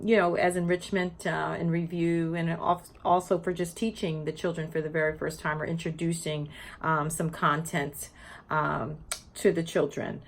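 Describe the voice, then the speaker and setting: Woman explaining a children's read-aloud. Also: lowish voice. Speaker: teacher presenting educational read-aloud videos for teachers. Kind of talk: informal instructional talk with children's picture book read-aloud.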